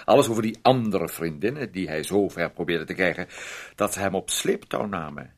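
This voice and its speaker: An actor playing a fussy, controlling brother in a radio play, dryly condescending and halting, with little interjections. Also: male voice, low voice. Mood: sardonic, condescending. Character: fussy, sardonic, controlling brother of a grown man.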